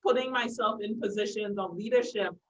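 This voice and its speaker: A woman's voice: mid-range voice, fairly quick, lo-fi sound. An upbeat woman host from Trinidad and Tobago leads the interview with eager praise for her guest. She sits a little away from the mic, so her voice has some distance in it. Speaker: podcast host. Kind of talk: friendly online interview.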